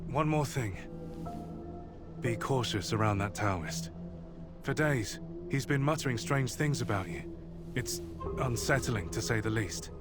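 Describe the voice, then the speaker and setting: A man playing an earnest, protective comrade in a video game, speaking with serious, steady concern. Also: mid-range voice, a little slow, long pauses, over loud music, lightly acted. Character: earnest, protective male comrade, determined and wary. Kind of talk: dramatic video game cutscene acting.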